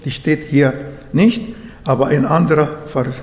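A man who is not a native German speaker, preaching a sermon in slow, halting German with restarts. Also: on the high side, fairly even intonation, a little mellow. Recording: echoey hall.

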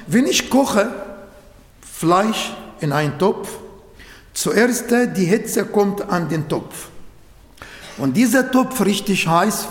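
A man preaching a sermon in German with an Arabic accent, slow and repetitive, with non-native grammar. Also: high voice. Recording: echoey hall with long reverb.

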